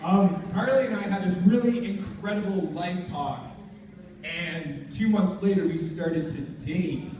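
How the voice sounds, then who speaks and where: A man's voice: high voice, a little slow. A young man gives an earnest, emphatic motivational talk to a live audience in informal language. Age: young adult. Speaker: motivational speaker at a student leadership conference. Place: large indoor conference hall. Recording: echoey noisy hall, far from mic.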